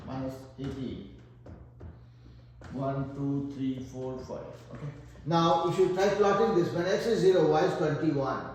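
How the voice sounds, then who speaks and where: Man, Indian accent, math tutor, fairly high voice, very echoey room. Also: darkish tone, slight background noise. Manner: explains step by step, thinks aloud while working. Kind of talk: whiteboard math lesson.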